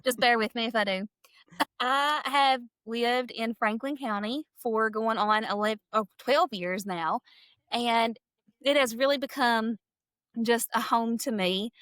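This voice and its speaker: Energetic woman in her thirties. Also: a little high, unhurried, clean tone, no room sound. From southeastern Kentucky. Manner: energetic, talkative, laughs easily. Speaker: political candidate. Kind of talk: podcast interview.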